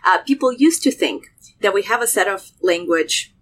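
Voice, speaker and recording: A woman's voice: mid-range voice, normal speed, slight background noise. A woman from Russia, a native Russian speaker, explaining neuroscience conversationally as a guest, with lots of fillers and restarts. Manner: animated, many fillers and restarts.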